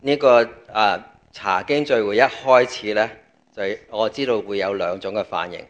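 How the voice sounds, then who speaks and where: Low-voiced Cantonese man preaching. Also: normal speed, muffled recording. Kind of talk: sermon with pauses for an interpreter.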